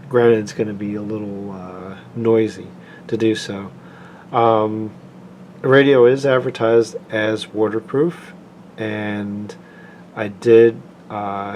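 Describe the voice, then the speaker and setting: Low-voiced man giving an informal product review. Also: darkish tone, slight background noise. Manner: halting, unscripted, plain-spoken explanation.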